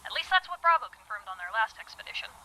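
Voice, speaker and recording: A woman's voice: on the high side, sing-song, fast talker, bright, forceful tone, heavily acted, rough recording. A woman playing a cautious, observant pony squad member in an analog horror drama, reporting warily through a radio filter. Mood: wary. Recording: piercing, hissy radio transmission.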